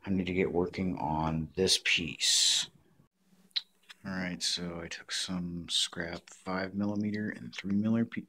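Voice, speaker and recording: A man's voice: sits low, pushed and bright. A man giving a casual hands-on craft tutorial, explaining step by step in plain words.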